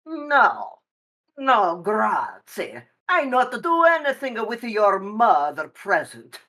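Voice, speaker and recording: An actress playing a sly middle-aged madam who wheedles in halting broken English with an Italian accent, in a stage play. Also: female voice, mid-range voice, very animated, fairly bright tone. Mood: indignant.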